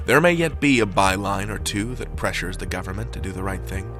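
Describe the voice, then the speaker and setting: Male narrator, lowish, earnest and rallying, music underneath. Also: expressive, fairly quick. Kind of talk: scripted narration.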